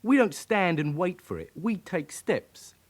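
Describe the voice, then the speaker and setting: An actor playing a cocky, defiant young criminal with a London accent and slangy, aggrieved manner in a radio crime drama. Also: male voice, sits high, very animated, a little slow, exaggerated voice. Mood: menacing, sneering.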